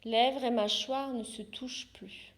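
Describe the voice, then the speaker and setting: Slow, higher-pitched woman guiding meditation. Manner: calm, unhurried, short soothing instructions with pauses. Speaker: yoga therapist guiding relaxation. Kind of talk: guided yoga nidra meditation.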